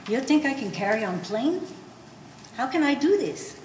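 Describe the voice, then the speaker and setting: In a reverberant hall with faint noise, a woman acts out an old immigrant mother in a stage play. She is shrewd and quarrelsome, arguing in blunt broken English with a lively, swooping delivery. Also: high voice, moderate pace. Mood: shrewd, grumbling. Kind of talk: live stage play acting. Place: art gallery stage reading.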